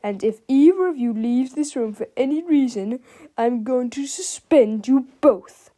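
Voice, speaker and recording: A woman voicing an angry, bullying middle-aged male school principal from a storybook, shouting and growling with menace. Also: very high voice, big pitch swings, drawn-out words, voice pushed up, exaggerated voice. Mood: menacing.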